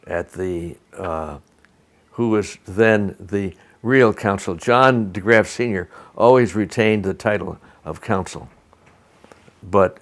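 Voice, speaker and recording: An older man from Albany with an American accent, reminiscing in an oral history interview in a measured, halting, digressive way. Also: low-pitched. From Albany, New York, USA. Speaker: interviewee, retired lawyer recalling career.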